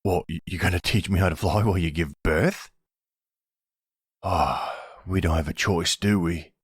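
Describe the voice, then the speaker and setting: A man's voice: low-pitched, fairly even intonation, normal speed, husky, no echo, studio quality. A man playing a panicky, dim young kidnapper in an audio roleplay, stammering and talking in bro slang. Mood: nervous.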